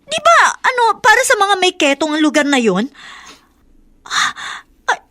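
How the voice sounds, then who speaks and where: An actress with a Filipino accent playing an excitable woman in a radio drama, talking in halting exclamations and questions. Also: very high voice, full character voice. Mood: shocked. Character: excitable woman shocked by family news.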